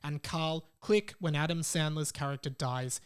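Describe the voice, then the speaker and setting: A young man with an Australian accent and a fairly high-pitched voice hosts a podcast on his own. He talks in a casual, chatty way, moving between reading messages aloud and adding his own informal comments. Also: moderate pace, clear, resonant tone. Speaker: podcast host.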